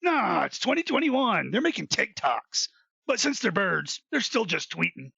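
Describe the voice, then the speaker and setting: Man playing a chatty pun-loving tree, raspy, high, jokey, comedic audio drama, slightly muffled echoey sound. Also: big pitch swings, measured pace, pushed and bright, full character voice. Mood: jokey, gossipy. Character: chatty punning talking tree in a magical forest.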